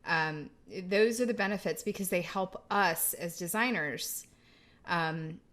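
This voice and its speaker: Lower-voiced woman teacher on a zoom panel, earnest, lots of um's. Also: measured pace, fairly bright tone. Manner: earnest, tag questions, fillers. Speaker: panelist, design educator. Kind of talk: roundtable discussion. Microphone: video call.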